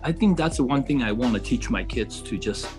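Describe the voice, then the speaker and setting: A middle-aged man from Taiwan speaking as a podcast guest in casual conversation, earnest and halting, rephrasing as he goes. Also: medium pitch, expressive, over music.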